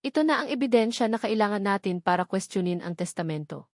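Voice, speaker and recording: A woman's voice: mid-range voice, expressive, quick pace, dry recording, natural voice. Woman narrator playing vengeful young rich woman, story drama, emphatic, accusing, triumphant, dry sound.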